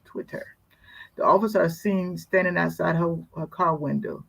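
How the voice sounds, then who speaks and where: A woman co-host with a gravelly, creaky voice reads a news story aloud on a casual talk show. She trips over words now and then and drops wry little asides as she goes. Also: lowish voice, moderate pace, a bit bright, rough recording.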